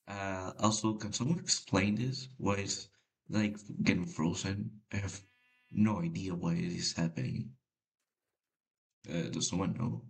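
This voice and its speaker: A man whose first language is Spanish, giving casual, halting gameplay commentary with a deep voice and many fillers. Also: vocal fry, rough recording. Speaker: gamer.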